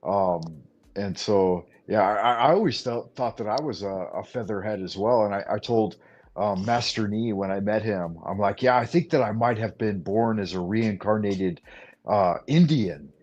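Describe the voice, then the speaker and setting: A man's voice: lowish voice, moderate pace, a little mellow, highs cut off. A man hosting a casual spiritual talk show, rambling and stumbling over his words as he tells stories. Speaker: host.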